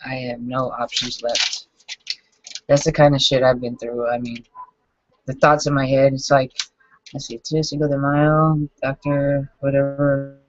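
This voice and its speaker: Halting, self-critical man in his forties. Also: medium pitch, little pitch movement, no echo. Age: 40s. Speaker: vlogger. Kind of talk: reading his own journal entries aloud. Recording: dull lo-fi dry recording.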